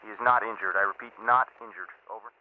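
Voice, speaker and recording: An actor playing a brisk, formal military radio operator in an audio drama, clipped and procedural, through a radio filter. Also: male voice, fairly low pitch, steady tone. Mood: brisk, businesslike. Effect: two-way radio filter. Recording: tinny, noisy two-way radio link.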